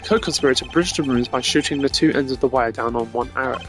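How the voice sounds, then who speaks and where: A composed video game prosecutor acted out by a man lays out his case formally and deliberately. His voice is mid-pitched, with music playing underneath. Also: a bit bright, lightly acted. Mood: explaining. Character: composed, cerebral male prosecutor arguing a case.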